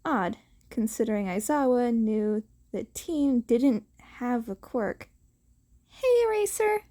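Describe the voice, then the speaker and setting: A woman reading scripted fiction aloud as an amateur audiobook narrator, with a halting delivery and small stumbles. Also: on the high side, warm tone.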